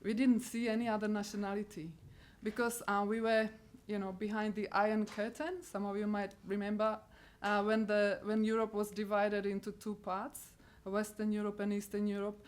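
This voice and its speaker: A middle-aged woman with a Czech accent gives a personal testimony about becoming a missionary. She talks in a halting way, often pausing to search for words, and her voice sits at a middling pitch. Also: flat delivery. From Czech Republic. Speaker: missionary speaker.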